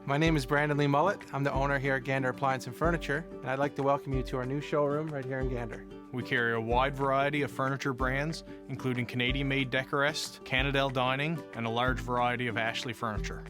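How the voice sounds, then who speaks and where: Brisk man reading a local ad. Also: medium pitch, fairly even intonation, music in the background. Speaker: store owner. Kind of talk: scripted local ad read.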